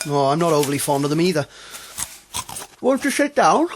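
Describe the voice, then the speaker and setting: A man's voice: a little high, sing-song, fairly quick. An eccentric male psychiatrist, theatrical and self-important, with erratic, swooping intonation. He is cheerfully absurd and scatterbrained, in a comic sci-fi audio drama with some noise behind him. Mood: effusive.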